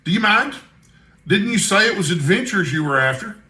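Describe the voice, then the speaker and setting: A man's voice: high-pitched, lively intonation, normal speed, sharp tone, roomy sound, voice pushed up. A man voicing a kindly, shrewd older Scottish news editor from a storybook, with a wry, expansive manner. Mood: encouraging.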